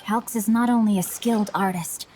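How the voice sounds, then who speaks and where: A woman voicing a refined, bookish young gentleman in a video game, speaking politely and eloquently. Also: mid-range voice, steady tone, moderate pace, a little hiss or hum, lightly acted. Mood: earnest. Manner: polite, eloquent, formal phrasing. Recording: game music behind the voice.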